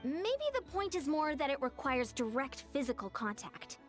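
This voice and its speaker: A woman voicing a cautious, serious woman commander in a video game, speaking in a measured, earnest way. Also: high-pitched, very animated, soft background music. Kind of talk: game voice acting.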